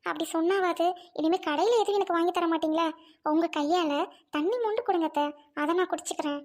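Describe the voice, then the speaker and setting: Woman voicing a gentle young bride. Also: extremely high pitch, fast talker. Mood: soft, pleading. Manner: soft, polite, keeps addressing mother-in-law.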